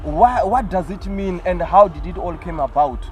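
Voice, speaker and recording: A man's voice: sits high, expressive, normal speed, a little mellow. A man hosting a podcast interview, formal and polite, addressing his guest by title. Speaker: podcast host.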